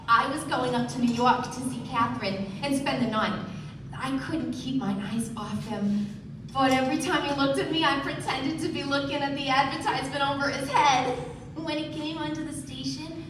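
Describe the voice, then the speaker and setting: An actress playing a brassy, lovestruck married mistress in a theatrical, gushing stage radio play style. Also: female voice, high voice, lightly acted. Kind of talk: live 1920s-set radio play acting. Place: theatre stage before an audience. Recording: roomy, noisy stage recording from far off.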